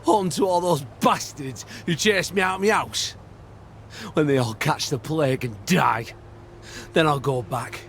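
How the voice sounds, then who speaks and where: An actor with a British accent playing a bitter, gruff old war veteran, blunt, sardonic and sweary, in a video game. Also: male voice, fairly high pitch, measured pace, a bit bright, slight background noise. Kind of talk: video game cutscene acting.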